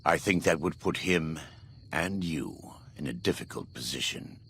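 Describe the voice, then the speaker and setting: Very deep, gravelly righteous warrior preacher. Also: male voice, animated, a bit bright, slight background noise, heavily acted. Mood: grave, measured. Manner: solemn, measured, preacherly. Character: devout, vengeful former warlord, grave and righteous man. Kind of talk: video game dramatic dialogue.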